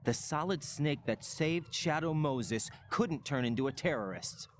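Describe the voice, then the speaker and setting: An actor playing an earnest, questioning young special-ops soldier in a video game cutscene. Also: male voice, on the high side, expressive, a little slow, a little hiss or hum. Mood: earnest, troubled.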